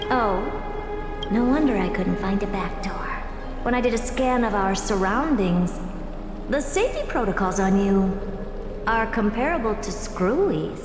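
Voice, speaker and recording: A woman's voice: medium pitch, expressive, unhurried. A woman playing a self-assured, inquisitive genius in a video game, poised and teasing with a haughty edge. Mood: intrigued. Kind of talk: scripted video game acting. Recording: echoey long reverb under loud music.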